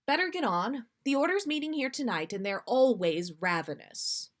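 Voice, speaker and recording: A harried mother figure from a story, as a woman reader puts her on. She is pitched up, snappish and worried, yet kindly underneath. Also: animated, moderate pace. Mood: brisk.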